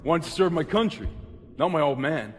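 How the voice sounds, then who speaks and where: A man's voice: fairly high pitch, expressive, moderate pace, slight background noise, lightly acted. An actor playing a brave, selfless young male Marine private with an intense, strained delivery in a military action game. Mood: determined. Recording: loud dramatic music and battle sounds behind.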